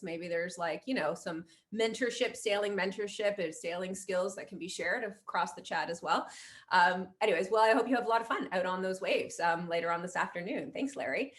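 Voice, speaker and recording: A woman's voice: on the low side, fairly bright tone. A woman hosting a panel over a video call, inviting guests to introduce themselves with encouraging remarks. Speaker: host, moderator. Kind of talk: moderating a panel.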